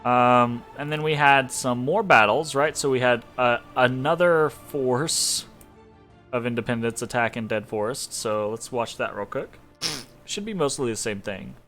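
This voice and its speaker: A man's voice: medium pitch, unhurried, fairly bright tone, soft background music. A young man with a congested, sniffly voice, giving casual commentary on a strategy game, loose and rambling with many fillers and small laughs. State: congested from allergies.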